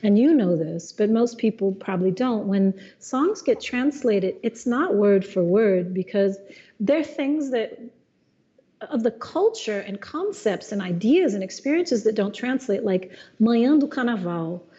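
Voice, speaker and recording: A middle-aged woman, a jazz singer, talking in a relaxed interview, thoughtful, with many restarts. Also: mid-range voice, a little slow, highs cut off. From Los Angeles, USA. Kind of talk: casual conversation.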